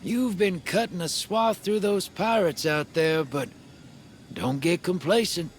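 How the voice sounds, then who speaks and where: A man's voice: sits high, unhurried, a little hiss or hum. An actor playing a worldly, sly male drifter in a video game, with a folksy, streetwise drawl. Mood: cautionary. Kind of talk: video game dialogue acting.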